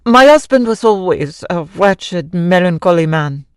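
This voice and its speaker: A woman playing a grieving, sorrowful Frenchwoman in an old-time radio drama, speaking formally and haltingly. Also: lowish voice, expressive, clear, resonant tone, no echo, studio quality. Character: grieving Frenchwoman, wife of a mad composer, sorrowful.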